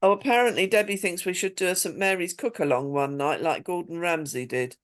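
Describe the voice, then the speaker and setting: A woman's voice: lowish voice, fairly quick. A woman hosting a casual group video call, calling on participants to speak. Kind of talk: casual moderating.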